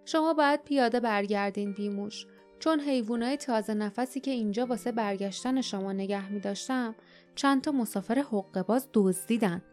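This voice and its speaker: A woman's voice: a little high, darkish tone, faint music behind, natural voice. A woman voicing a cunning middle-aged male shopkeeper who talks about himself in the third person in a wheedling way, in an audiobook. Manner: cunning, wheedling, calls himself poor Tom.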